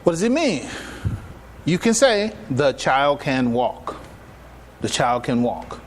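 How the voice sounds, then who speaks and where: A man giving a religious public address as an imam, teaching with emphatic rhetorical questions, repetitions and deliberate, halting phrasing. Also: medium pitch, sing-song, a little hiss or hum. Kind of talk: religious lecture, teaching to an audience.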